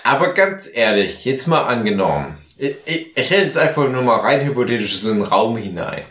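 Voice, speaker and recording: A man's voice: mid-range voice, sing-song, a little fast, a bit bright. A man with a German accent chatting casually and jokingly as a podcast host, rambling a little tipsily. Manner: rambling, joking, mock-hypothetical. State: drunk. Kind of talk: casual comedic podcast banter. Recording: echoey room.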